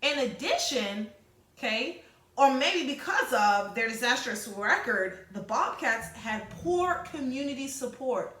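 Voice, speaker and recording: A woman teacher leading a read-aloud lesson, informally addressing pupils with explanatory asides and slightly halting reading. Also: a little high, lively intonation, fairly bright tone. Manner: halting, self-correcting reading. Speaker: sixth-grade teacher. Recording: echoey room.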